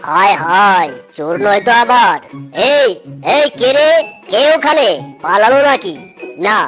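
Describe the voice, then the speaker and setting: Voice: mid-range voice, sing-song, a little slow, music in the background. An actor voicing a bossy, suspicious older male animal neighbour in a Bengali cartoon, exclaiming and scolding.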